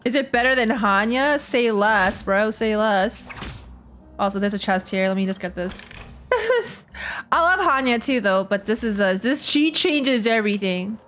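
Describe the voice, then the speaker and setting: A woman's voice: fairly high pitch, lively intonation, a little slow, fairly bright tone. A woman streamer giving casual, slangy, jokey reactions during a game playthrough, often repeating herself. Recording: faint game music behind. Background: game music.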